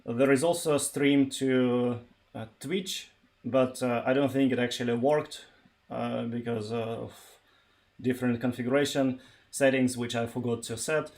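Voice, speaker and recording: A man from eastern Ukraine, a native Russian speaker with a Russian accent, talking informally and haltingly on a tech livestream. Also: medium pitch, steady tone, a little mellow. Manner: halting, searching for words. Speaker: live streamer, software developer.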